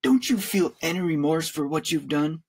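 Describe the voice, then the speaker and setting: A stern father acted out by a man reading a story, husky and firm. His pitch moves a lot as he reproves, exasperated and in charge. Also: expressive, normal speed, darkish tone. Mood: reproving.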